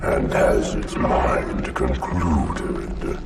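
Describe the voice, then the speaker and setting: A man voicing a vast ancient alien parasite in a video game, with a deep, gravelly, monstrous voice and slow, grandiose, verse-like speech. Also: reverberant, far from the mic, over loud music, full character voice. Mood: ominous, commanding. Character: vast ancient alien parasite mastermind, ominous and all-knowing. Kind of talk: cinematic video game acting.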